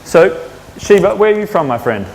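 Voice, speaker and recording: A man's voice: sits high, very animated, moderate pace, a little mellow. A man hosting a networking event, chatting with the audience and asking the room questions. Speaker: event host. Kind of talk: casual facilitating at a networking event. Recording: long reverb in a hall, faint room noise.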